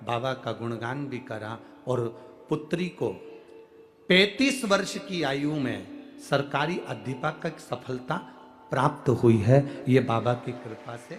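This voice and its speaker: An Indian man from Madhya Pradesh giving a devotional sermon, reading a listener's letter aloud, emphatic and alternating loud declarations with hushed lines. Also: mid-range voice, expressive, slow, faint music behind. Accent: Madhya Pradesh Hindi. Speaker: katha preacher. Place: large outdoor religious gathering.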